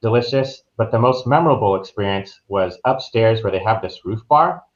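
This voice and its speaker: Male podcast guest, lowish voice, easygoing conversational delivery, slightly muffled lo-fi audio. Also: lively intonation, normal speed. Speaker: podcast guest, travel agent. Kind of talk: casual conversational recounting.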